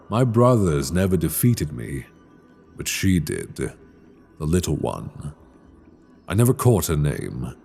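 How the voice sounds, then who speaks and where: Ancient dragon king, male actor: very low, slow, brooding and regretful, proud yet wryly tender, dark fantasy ASMR close to the mic. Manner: brooding, theatrical, measured storytelling with sighs. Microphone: close binaural microphone.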